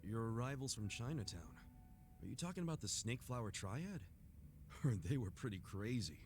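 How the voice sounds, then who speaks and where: An actor playing a brash, good-hearted drinking buddy in a video game, blunt, earnest and easygoing. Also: male voice, medium pitch, expressive, moderate pace, darkish tone, soft background music, natural voice. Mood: curious. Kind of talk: English video game voice acting.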